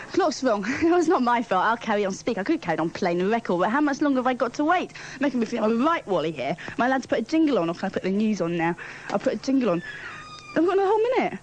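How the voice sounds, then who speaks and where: A woman's voice: a little high, very animated, very fast, low-quality recording. A woman radio presenter, chatting informally live on air. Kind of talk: live casual radio chat. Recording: loud music over radio broadcast.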